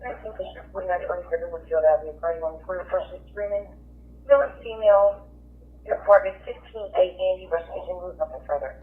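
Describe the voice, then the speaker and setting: A woman reads dispatch bulletins over police radio. She goes very quickly. The audio is narrow and tinny, as from a scanner. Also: fairly low pitch.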